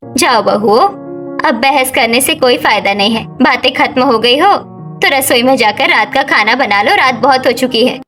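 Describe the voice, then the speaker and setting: A woman's voice: high-pitched, lively intonation, moderate pace, a bit bright, soft background music. A woman voicing a weary, exasperated older village mother-in-law in a cartoon story. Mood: weary, resigned.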